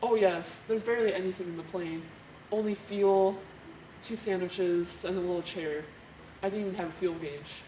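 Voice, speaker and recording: A woman's voice: lowish voice, normal speed, warm tone, close to an everyday voice. A woman playing a modest young male aviator hero in an amateur mock news interview. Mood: earnest, modest. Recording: roomy distant mic, faint background noise.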